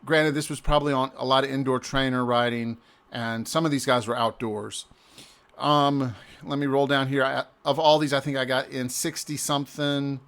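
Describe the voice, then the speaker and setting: A man, a native English speaker, casually walking through a screen-shared recap of a cycling event, often pausing and restarting mid-sentence. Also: mid-range voice, fairly even intonation, fairly bright tone. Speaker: amateur cyclist reviewing an event.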